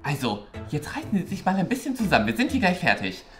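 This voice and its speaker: A woman's voice: lowish voice, wildly swooping pitch, quick pace, soft background music. A woman playing a flustered, bossy male teacher in a comedy sketch, rambling and impatient. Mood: exasperated. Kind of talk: improvised-sounding comedy sketch acting.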